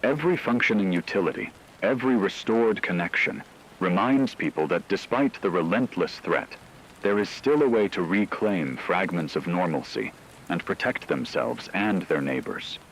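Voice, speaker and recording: A man playing a grave radio news anchor, reading scripted emergency bulletins in a measured, formal way. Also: low-pitched, lively intonation, creaky, slight background noise, low-quality recording.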